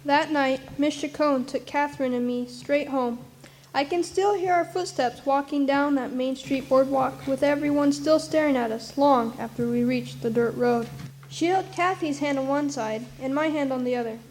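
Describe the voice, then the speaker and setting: A streetwise young orphan voiced by a woman narrates a radio play. The voice is high. The telling is plucky, folksy and wry. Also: normal speed, a little mellow, slight background noise, poor audio. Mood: fond reminiscence. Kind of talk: storytelling narration in radio drama.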